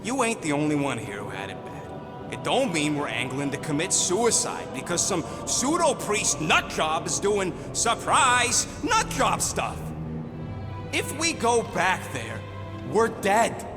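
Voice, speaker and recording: An actor playing a snarky, hot-tempered small raccoon mercenary in a video game, with gruff, sarcastic, slangy delivery. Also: male voice, sits high, huge pitch swings, measured pace, big-room sound, music in the background, full character voice. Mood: scornful, agitated.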